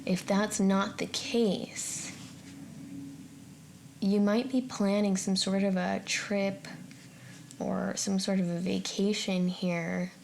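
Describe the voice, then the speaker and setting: A woman's voice: mid-range voice, little pitch movement, slow pace, gravelly, pauses often, darkish tone, a little hiss or hum. A woman giving an unscripted tarot forecast straight to the viewer, musing aloud in a tentative way as she interprets each card. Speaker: tarot reader and channel host.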